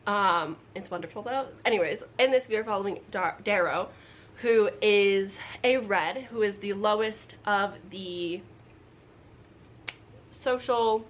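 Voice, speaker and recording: A woman's voice: medium pitch, unhurried. A woman chatting casually to camera as a book vlogger, informal and rambling, with frequent asides. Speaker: book vlogger announcing a readalong. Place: at home indoors. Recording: background room noise, household appliance.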